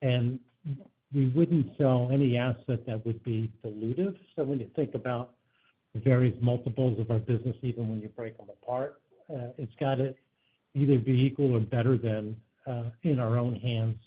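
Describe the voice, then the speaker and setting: Husky-voiced male executive on a phone line. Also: mid-range voice, fairly even intonation, moderate pace. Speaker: company executive on an earnings call. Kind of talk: unscripted investor Q&A answers. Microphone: conference phone call. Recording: narrow tinny phone line.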